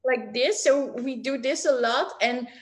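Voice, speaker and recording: A woman's voice: high voice, unhurried. A woman in her forties, a writing teacher talking casually as a guest, chatty with many fillers and light laughs. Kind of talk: casual interview chat.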